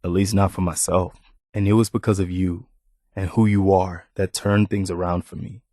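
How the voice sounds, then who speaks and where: An actor playing an earnest, weary but hopeful militia leader in a video game, speaking in a measured way. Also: male voice, low voice, level tone, mellow, no room sound, clean studio sound, close to an everyday voice. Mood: heartfelt, sincere. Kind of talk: video game companion dialogue.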